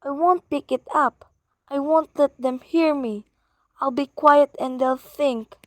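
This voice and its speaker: A woman's voice: high voice, steady tone, slow pace, dry recording, studio quality. A woman acting a nervous, demanding invalid wife in a suspense radio play, imperious and pleading. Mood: frightened.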